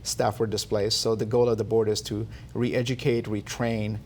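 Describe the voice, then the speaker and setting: A man born in Iran, a company founder answering interview questions in a measured way with many fillers. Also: fairly low pitch, flat delivery, darkish tone, slight background noise. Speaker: tech company CEO, guest.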